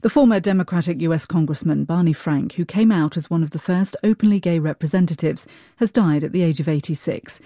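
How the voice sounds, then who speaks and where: A woman's voice: lowish voice, brisk, few pauses, dark tone, dry recording, clean studio sound. A woman reading a scripted radio news bulletin.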